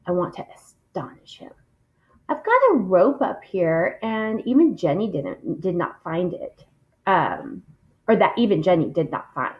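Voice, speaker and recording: A woman's voice: lowish voice, big pitch swings, a little slow, muffled recording. A woman reading aloud expressively, in the style of a literary read-along.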